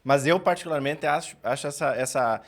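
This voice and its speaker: A man's voice: fairly high pitch, fairly quick. A man from Salvador das Missões, interviewing at a fair in a friendly, hesitant way and often repeating words. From Salvador das Missões, southern Brazil.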